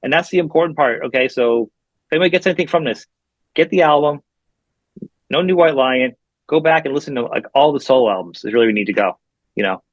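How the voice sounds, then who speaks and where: Male podcast host, rapid, enthusiastic, muffled lo-fi sound. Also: medium pitch, expressive, no room sound. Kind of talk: interview conversation. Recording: dry, dull lo-fi remote audio.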